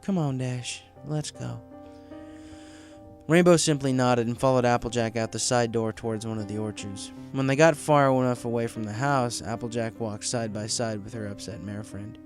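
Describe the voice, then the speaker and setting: A man's voice: mid-range voice, steady tone, a little fast, few pauses, faint music behind. A man reading a story aloud as scripted audiobook narration. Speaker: fan fiction audiobook reader.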